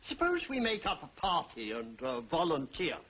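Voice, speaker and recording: An actor playing an unfeeling, nosy gentleman gossip in a 1940s television drama, breezy and inquisitive. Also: fairly high pitch, wildly swooping pitch, moderate pace, breathy edge, exaggerated voice. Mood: breezy curiosity. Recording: faintly noisy old 1940s TV soundtrack.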